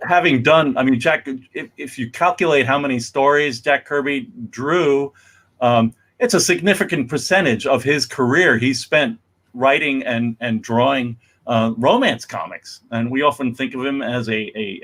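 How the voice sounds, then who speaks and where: Halting, informal male host. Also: medium pitch, creaky, fairly bright tone. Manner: halting, rambling delivery. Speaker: host, museum executive director. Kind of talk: informal event introduction.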